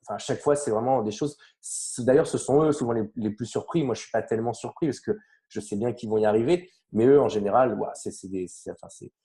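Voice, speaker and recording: Mellow-voiced French man coaching. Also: mid-range voice, quick pace. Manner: animated, trailing off mid-sentence with fillers. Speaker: language learning coach. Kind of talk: casual conversational interview.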